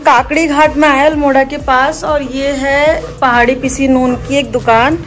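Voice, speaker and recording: A woman's voice: high voice, fairly even intonation, moderate pace. A young woman from the hills, chatting casually as a travel vlogger and teasing her companions. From Uttarakhand hills. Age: young adult. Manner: chatty, teasing, recommends places to viewers. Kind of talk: casual travel vlog chat. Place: outdoors in hills and a roadside eatery. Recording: music playing under voice.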